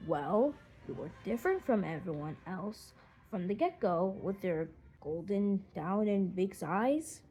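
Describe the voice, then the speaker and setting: A woman voicing a wise, encouraging father eagle in a measured, reassuring way for a children's audiobook. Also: medium pitch, animated, mellow, breathy edge, poor audio. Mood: calm encouraging. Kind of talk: audiobook character voice.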